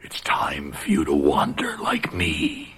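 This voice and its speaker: Ancient wandering spirit: low, very husky, solemn and threatening, unhurried radio-drama delivery. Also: male voice, wildly swooping pitch, fairly bright tone, full character voice. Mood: eerie. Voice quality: husky, breathy, whispery. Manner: slow, portentous, formal. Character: ancient ghostly doppelganger, cursed wanderer, menacing and solemn. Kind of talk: radio horror drama acting.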